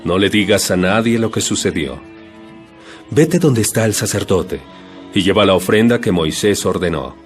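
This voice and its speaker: A man acts out a male holy teacher and healer, commanding yet compassionate. His delivery is solemn and declamatory, with a very mobile pitch. Soft music plays behind this audio Bible drama. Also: animated, moderate pace, lightly acted. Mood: calm, firm.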